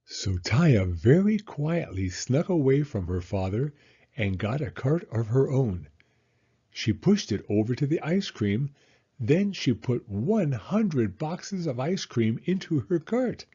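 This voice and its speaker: Expressive man reading a storybook, unhurried. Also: mid-range voice, slightly rough, lo-fi sound. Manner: expressive, deliberate, drawn-out phrasing. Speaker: storyteller reading a children's book aloud. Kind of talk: animated read-aloud narration for children.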